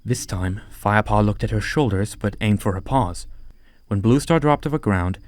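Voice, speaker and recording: A man's voice: lowish voice, expressive, moderate pace, no room sound. A man narrating an audiobook story from a script.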